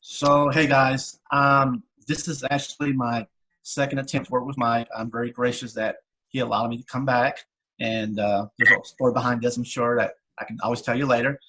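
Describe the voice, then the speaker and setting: A man's voice: medium pitch, little pitch movement, normal speed, sharp tone, rough recording. A middle-aged man introducing himself casually and a little hesitantly as an amateur actor on a video call. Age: late 30s to 40s. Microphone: video call microphone.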